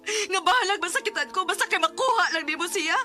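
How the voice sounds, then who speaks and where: High, bright, betrayed younger sister. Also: female voice, quick pace, soft background music, exaggerated voice. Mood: angry, accusing. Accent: Cebuano. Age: young adult. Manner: emotional, melodramatic. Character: betrayed younger sister, hurt, bitter, accusing. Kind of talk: Bisaya radio drama acting.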